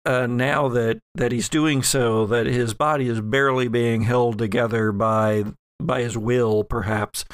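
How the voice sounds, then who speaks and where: Male game master, unhurried with a creaky edge, narrating gothic horror in halting phrases, dry close sound. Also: medium pitch, dry recording.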